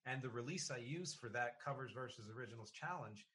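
A male podcast host with a bright, forward voice at a middling pitch. He chats casually, laying out his opinions in a diplomatic, explanatory way. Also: expressive, fairly quick, a little hiss or hum. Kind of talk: casual interview chat.

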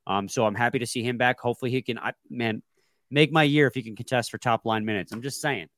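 A man's voice: mid-range voice, fast, a bit bright, no room sound, clean studio sound. A man with a stuffy, congested voice, hosting a casual sports podcast with laid-back, joking banter. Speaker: podcast co-host.

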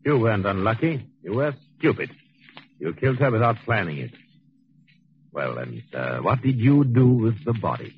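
Low-voiced, pompous convict mastermind. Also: male voice, very animated, a little hiss or hum. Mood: contemptuous. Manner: pompous, measured, condescending. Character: cold, arrogant intellectual male murderer in prison. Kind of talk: 1940s radio acting. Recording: dull 1940s radio recording.

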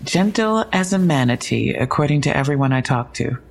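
A woman's voice: rumbling low, lively intonation, brisk, gravelly, exaggerated voice. An actress playing a matter-of-fact young female police detective in an audio drama, briefing conversationally with dry, wry asides.

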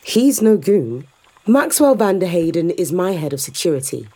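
A woman's voice: fairly low pitch, sing-song, moderate pace, mellow. An actress playing a confident, sardonic woman in a science fiction audio drama, explaining things to a skeptical visitor with assured, dryly teasing delivery.

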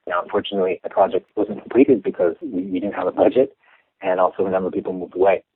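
A young Canadian man answering interview questions in a measured, explanatory way, with some restarts. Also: deep voice, huge pitch swings, creaky, husky, no room sound. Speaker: interview guest. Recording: tinny phone line.

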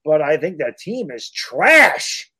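A man co-hosts a casual sports podcast with blunt, joking banter. His voice sits fairly high for a man. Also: very animated, takes it slowly, sharp tone, husky. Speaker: podcast co-host.

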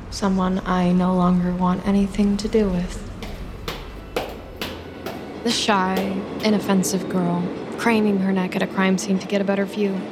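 An actress playing an intense, lonely young woman in an audio drama, dramatic and deliberate, with a dark, needy edge. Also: medium pitch, natural voice. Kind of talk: audio drama acting with confiding inner monologue. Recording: music behind the voice.

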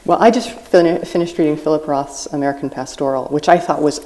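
Deep mellow woman guest on stage, earnest, builds out a long metaphor. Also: brisk, a little hiss or hum, low-quality recording. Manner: earnest, explains with extended metaphor. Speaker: guest writer. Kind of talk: live podcast conversation. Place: stage at a book festival.

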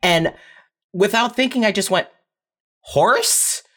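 A young adult speaking casually and candidly, telling personal stories in a halting way with frequent repeated words. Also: medium pitch, animated, fairly bright tone. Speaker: podcast guest writer.

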